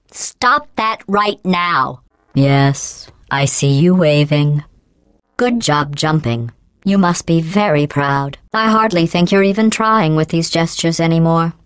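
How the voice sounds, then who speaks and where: A woman voicing a cold, sarcastic female AI in a game, with a robotic processed sound and a deadpan, mocking, passive-aggressive delivery. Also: on the low side, clear, resonant tone, no room sound, studio quality. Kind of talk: video game voice acting.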